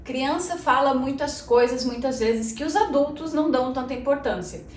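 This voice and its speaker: A woman's voice: high-pitched, normal speed, recorded from a distance. Chatty Brazilian woman in an echoey room. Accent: Brazilian Portuguese. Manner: chatty, addresses viewers as "gente", frequent "né". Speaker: channel host. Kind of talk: casual personal vlog. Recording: echoey room, voice a bit off-mic, faint noise.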